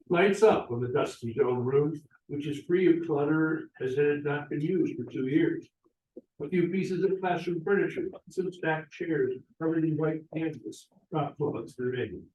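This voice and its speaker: A man's voice: on the high side, mellow, dull audio. A man reading stage directions as scripted narration at a play table read. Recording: roomy, echoey, distant mic.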